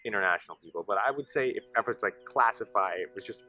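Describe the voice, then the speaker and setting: A man's voice: lowish voice, fast talker, bright, forceful tone, soft background music. A young man, a college senior, giving matter-of-fact answers in a documentary interview. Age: young adult.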